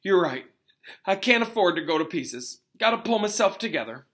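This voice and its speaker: A man acts out a huge, despairing storybook man who loves creatures. His speech is rustic and choked with tears, and the voice is bright and forceful. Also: high voice, sing-song, moderate pace, voice pushed up. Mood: shaken, mournful. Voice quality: choked, sobbing delivery. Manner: rustic, dropped-word folksy speech. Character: giant man, tearful, gruff, despairing creature lover.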